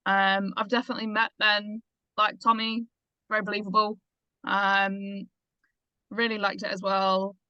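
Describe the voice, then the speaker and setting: A woman's voice: mid-range voice, little pitch movement, unhurried, clean tone, no echo. A woman on a reading panel giving casual spoken feedback, in dull lo-fi sound.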